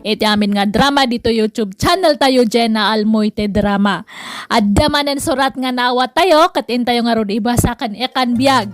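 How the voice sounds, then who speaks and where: A woman's voice: a little high, no echo. A woman with an Ilocano accent presenting a radio drama podcast as host, reading out listeners' letters.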